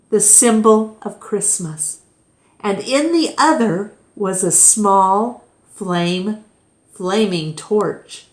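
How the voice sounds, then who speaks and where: Slow, echoey woman reading a children's story. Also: on the low side. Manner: deliberate, dramatic phrasing. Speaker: storybook reader, storytime host. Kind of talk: expressive read-aloud of a children's story. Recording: echoey room.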